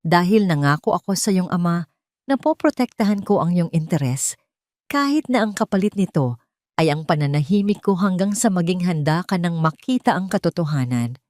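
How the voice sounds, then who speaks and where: A woman acts out an earnest male lawyer in an audio drama. The delivery is measured, formal and authoritative, in a lowish female register with a close, dry sound. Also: expressive, darkish tone, no room sound, lightly acted. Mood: calm, reassuring. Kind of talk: Tagalog audio drama dialogue.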